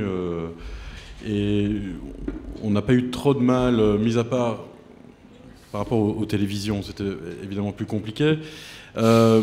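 Measured producer, dark-voiced man. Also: fairly low pitch. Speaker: film producer. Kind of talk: press conference answers. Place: press conference room. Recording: reverberant hall, faint noise.

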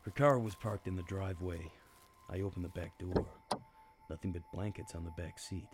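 An actor playing a world-weary, hard-drinking detective in a noir radio play, with wry, understated delivery. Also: male voice, low-pitched, fairly even intonation, normal speed, heavy background noise, lightly acted. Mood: wry, brooding. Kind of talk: noir radio drama narration.

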